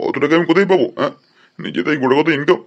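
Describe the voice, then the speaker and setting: A man's voice: fairly high pitch, racing, lo-fi sound, lightly acted. A man playing a stern, indignant old grandfather who scolds his family in a comedy cartoon. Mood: dismayed. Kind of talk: adult comedy cartoon dialogue in Bengali.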